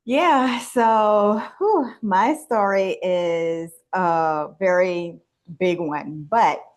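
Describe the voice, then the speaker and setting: Unhurried, low-voiced woman from the Bahamas. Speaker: interview guest, wellness business owner and nurse. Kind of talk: conversational personal storytelling.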